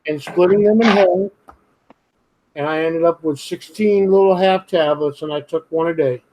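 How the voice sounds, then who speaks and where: A man's voice: on the high side, normal speed, dark tone, highs cut off. Blunt, swearing man with Brooklyn-ish accent. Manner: blunt, swears freely, grumbling complaints. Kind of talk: casual late-night chat.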